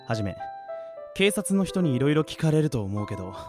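An actor playing a rough-spoken, exasperated teenage schoolboy, the straight man in a comedy radio drama. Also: medium pitch, sing-song, a little fast, natural voice. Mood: weary, grumbling. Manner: blunt, rough-spoken, retorting. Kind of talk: anime-style comedy radio drama acting. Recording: loud music bed under the voice. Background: music.

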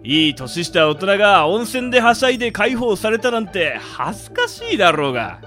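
Man as swaggering gangster big brother, raised pitch. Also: huge pitch swings, fairly quick, bright, forceful tone, faint music behind, heavily acted. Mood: boastful, sheepish. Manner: rough, drawling, swaggering. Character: gruff, easygoing, fond middle-aged gangster big brother. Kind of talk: amateur internet radio drama.

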